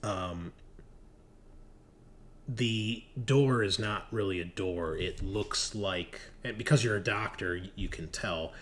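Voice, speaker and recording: A man improvising narration as game master of a horror tabletop game, halting with drawn-out pauses as he describes scenes. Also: on the low side, fairly bright tone, a little hiss or hum.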